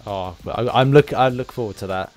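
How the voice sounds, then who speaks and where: A man hosting a casual podcast interview, speaking in halting, hesitant phrases as he puts questions to his guest. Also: fairly low pitch, faint music behind.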